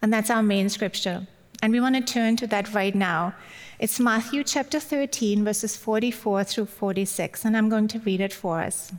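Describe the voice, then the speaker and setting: A woman's voice: mid-range voice, moderate pace. German-accented woman preaching in long reverb. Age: middle-aged. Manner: personal, lightly humorous. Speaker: guest speaker at church. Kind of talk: sermon.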